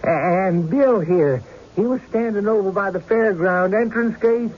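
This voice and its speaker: An actor playing a stubborn, excitable rural card player in folksy country talk for an old-time radio drama. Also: male voice, sits high, measured pace, slight background noise. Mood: alarmed, insistent. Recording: dull lo-fi radio recording with music.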